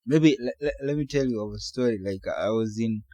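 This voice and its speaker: A man with a Kenyan accent, a native Kikuyu speaker, chatting casually as a podcast co-host, animated and swearing freely. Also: medium pitch, a little fast, dry recording. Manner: animated, swears freely, restarts words often.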